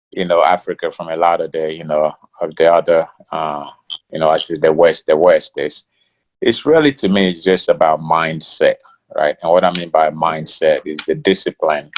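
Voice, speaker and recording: A middle-aged man from Ghana, a guest in an interview, talking in a relaxed way with many fillers. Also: very deep voice, a little hiss or hum, rough recording. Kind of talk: casual interview talk.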